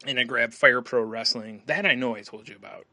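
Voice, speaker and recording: A man with a bright, forward voice talks casually as a podcast co-host. He is joking and loose with profanity, and the sound is close and dry. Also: mid-range voice, a little fast, dry recording. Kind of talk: casual chat.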